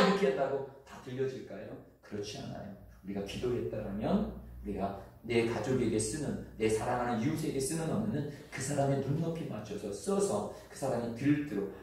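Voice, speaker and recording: Pastor, man, Chungcheong accent, earnest chatty preaching with slow sentence endings, distant mic, heavy hall echo. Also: mid-range voice. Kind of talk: sermon. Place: church sanctuary. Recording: echoey church hall from far off.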